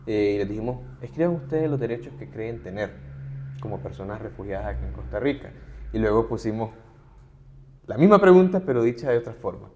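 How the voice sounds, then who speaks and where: Earnest middle-aged male advocate, somewhat low voice, steady explanatory interview delivery. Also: fairly quick, lo-fi sound, slight background noise. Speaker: human rights advocate, interview guest. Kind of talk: conversational interview.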